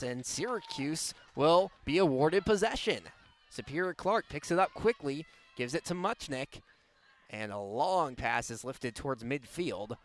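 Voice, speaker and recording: A man's voice: on the high side, a bit bright. A young man doing live radio play-by-play sports commentary in a clipped, descriptive way. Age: young adult. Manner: clipped, descriptive call of the action. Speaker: play-by-play announcer.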